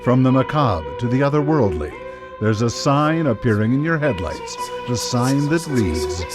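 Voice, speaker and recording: A man acts as the host of an eerie radio anthology. His dramatic narration is ominous and widely varied in pitch, over faint music in a live radio studio. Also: big pitch swings, moderate pace, natural voice. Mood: ominous, measured.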